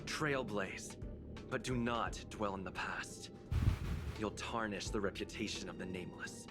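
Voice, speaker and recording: A man's voice: fairly low pitch, a little slow, loud music behind the voice, natural voice. A man playing a stern, commanding voyager in a video game, solemn and admonishing. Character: stern, commanding male voyager giving warnings.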